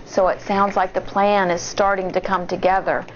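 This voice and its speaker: A woman teacher reading a storybook aloud to children with deliberate, expressive delivery and pausing to comment. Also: medium pitch, dull audio, slight background noise.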